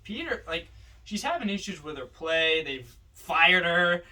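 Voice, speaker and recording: A man co-hosting a podcast, casually discussing films in a halting, emphatic, opinionated way. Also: a little high, big pitch swings, sharp tone, far from the mic, poor audio. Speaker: podcast co-host.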